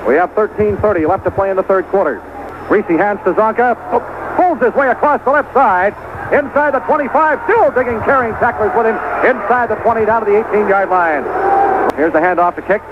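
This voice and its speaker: An American man calling live football play-by-play on the radio in clipped short phrases, rising excitedly as the action develops. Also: high voice, fairly quick, phone-quality sound. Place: outdoor stadium broadcast position. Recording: noisy band-limited 1970s radio broadcast.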